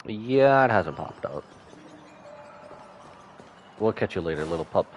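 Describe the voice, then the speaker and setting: A man's voice: deep voice, animated, a little slow. A man livestreaming a game, giving playful commentary and reading dialogue aloud. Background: faint game music.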